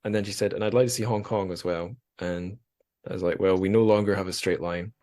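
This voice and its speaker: A man's voice: sits low, steady tone, quick pace, mellow. A man hosting a podcast, chatting casually and then wrapping up the show with jokey, self-deprecating plugs and frequent restarts. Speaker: podcast host. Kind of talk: casual interview chat and show outro.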